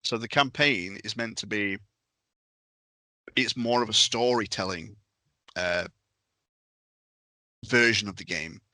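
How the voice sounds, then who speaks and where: A man talks through examples in an informal, explanatory podcast style. His voice sits fairly low but has a bright, forward edge. The sound is close and dry. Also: slow pace, long pauses, no room sound. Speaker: podcast panellist explaining a tabletop game. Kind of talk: casual podcast discussion.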